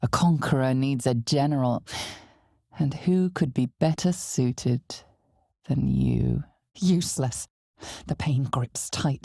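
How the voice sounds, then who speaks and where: A woman voicing a solemn, ominous narrator of a dark fantasy video game, with measured, dramatic storytelling. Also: extremely low pitch, vocal fry, dry recording, clean studio sound, heavily acted. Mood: solemn, intimate. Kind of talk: scripted second-person fantasy game narration.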